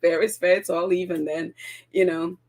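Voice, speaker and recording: A woman hosting a casual solo podcast, her voice croaky and congested from a cold, chatty with frequent laughs and ums. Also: lowish voice, flat delivery, moderate pace, darkish tone. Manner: chatty, giggly, lots of ums. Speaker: podcast host.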